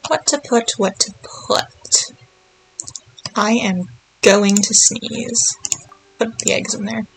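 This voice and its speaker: A woman's voice: medium pitch, fairly even intonation, slow, slight background noise, poor audio. A woman giving casual, playful video game commentary, thinking aloud and murmuring little nonsense words to herself as she plays.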